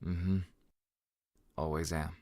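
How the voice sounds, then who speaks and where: A brooding young bad boy from a visual novel, as a game host puts him on. He speaks low and slowly in curt, cool replies. The sound is dry and close. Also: flat delivery, a little mellow, no echo, exaggerated voice.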